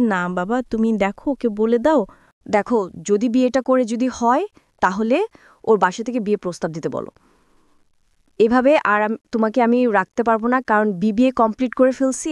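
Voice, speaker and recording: Casting: young woman, Bangladeshi accent, higher voice, conversational storyteller quoting others, recorded dry. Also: smooth, no room sound. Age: young adult. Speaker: guest telling own life story.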